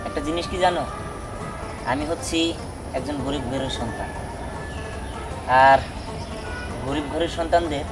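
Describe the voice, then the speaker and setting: A poor, humble young lover, played by a man, speaking web drama dialogue. His voice is a little high, with music playing clearly behind it. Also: natural voice. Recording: music over outdoor dialogue.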